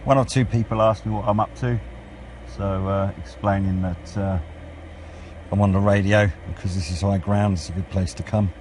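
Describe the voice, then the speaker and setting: A British man chatting casually in a vlog, with a rambling, unhurried, low-key way of talking. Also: fairly even intonation, darkish tone. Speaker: radio hobbyist vlogger. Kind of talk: casual outdoor vlog chat. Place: outdoors on wooded high ground by a road. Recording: outdoor noise with passing vehicles.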